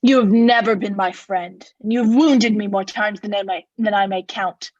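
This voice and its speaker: A woman's voice: mid-range voice, steady tone, normal speed, a little mellow, no echo, muffled recording, natural voice. A woman acting a cold, vengeful murderer in a radio play, speaking with formal, sardonic politeness as he mocks his victim. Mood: cold, resentful.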